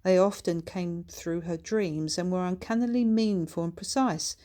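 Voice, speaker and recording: Female author-narrator for a children's book, slightly low voice, measured scripted storytelling. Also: dark tone.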